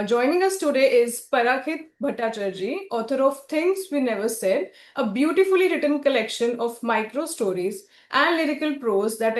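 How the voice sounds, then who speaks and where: A woman hosting an author interview, speaking politely and deferentially with partly scripted introductions and questions. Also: a little high. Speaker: interviewer and host for a publisher. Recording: roomy echo.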